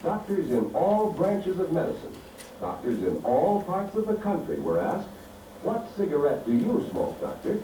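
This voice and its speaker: A man playing a slick, confident 1940s radio commercial pitchman in a stage drama. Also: huge pitch swings, normal speed, dark tone. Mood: confident, selling. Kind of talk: vintage radio commercial acting. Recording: noisy, distant, echoey stage recording.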